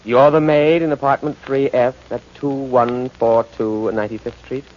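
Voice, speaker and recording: An actor playing an impatient, bossy crime boss, curt and commanding, in the style of a 1940s radio crime drama. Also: male voice, mid-range voice, steady tone, normal speed, darkish tone, lightly acted. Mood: controlled, menacing. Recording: muffled, noisy 1940s radio broadcast.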